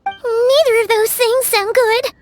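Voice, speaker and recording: A woman voicing a small, excitable childlike fairy companion in an anime game, chatty and exclamatory with hesitant asides. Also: extremely high pitch, slow pace, soft background music. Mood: worried, pondering. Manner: speaks of self in third person, exclamatory, hesitant asides.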